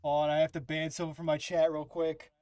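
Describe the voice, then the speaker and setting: A man's voice: a little high, completely flat delivery, fairly quick, warm tone, clear, resonant tone, no echo, clean studio sound. A man chatting casually as he streams and plays through a game with friends. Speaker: streamer playing a lawyer in a fan dub. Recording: music behind the voice.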